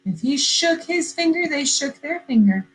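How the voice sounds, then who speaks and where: A woman teacher reading a story aloud to young children, expressive and questioning, repeating phrases for emphasis. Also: high-pitched, normal speed, a little hiss or hum.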